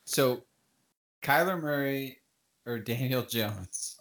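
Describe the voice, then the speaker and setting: A man hosting a podcast in casual chat, asking quick, wry questions. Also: medium pitch, fairly bright tone, roomy sound. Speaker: podcast host.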